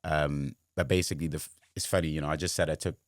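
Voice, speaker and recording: Young guy, really deep voice, rambly chatty storytelling, dry close sound. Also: fairly even intonation, quick pace, no echo. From Wales. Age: 20s. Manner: rambling, filler-heavy storytelling. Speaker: guest, sports journalist. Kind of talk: casual interview chat.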